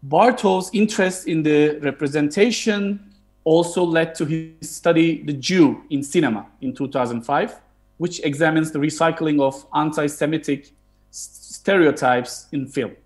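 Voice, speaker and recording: A man in his thirties from Turkey with a Turkish accent, hosting an online book talk. He pauses often with fillers and restarts, speaking over a video call from a room at home. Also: on the high side, darkish tone. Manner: hesitant, frequent fillers and restarts. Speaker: historian and host.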